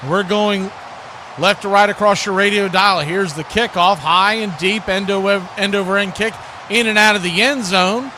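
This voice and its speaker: A man's voice: high-pitched, fairly even intonation, moderate pace, noisy background. A man doing live radio play-by-play of a football game, calling the action in clipped, punchy bursts. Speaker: play-by-play sports announcer.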